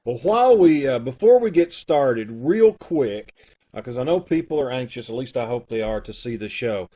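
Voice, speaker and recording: A man's voice: medium pitch, animated, moderate pace, mellow, husky. A man hosting a live video call from home. He chats casually and rambles, with lots of fillers. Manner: folksy and rambling, with many fillers. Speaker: host of a community theater troupe.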